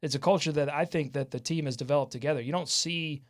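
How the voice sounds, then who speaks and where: A man hosting a live sports talk show in a casual way, often halting as he searches for words. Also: fairly high pitch. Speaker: sports podcast host.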